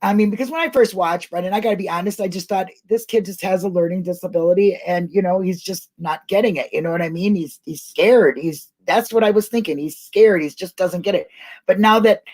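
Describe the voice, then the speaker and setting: A woman's voice: fairly low pitch, fairly quick, darkish tone. A middle-aged woman hosting a casual live online discussion, chatting in a colloquial, rambling way. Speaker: host of an online talk show.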